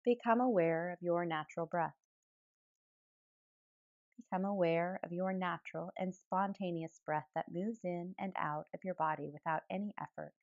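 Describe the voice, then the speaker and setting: A woman guiding a yoga nidra meditation in calm, gentle phrases with pauses between them. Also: on the low side, no echo, lo-fi sound. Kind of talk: guided relaxation meditation, soothing instruction.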